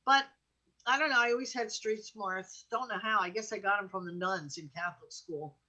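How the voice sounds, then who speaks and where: A woman's voice: mid-range voice, moderate pace, bright, forceful tone. An American woman co-host telling anecdotes casually, in a candid, colloquial way. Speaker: show co-host.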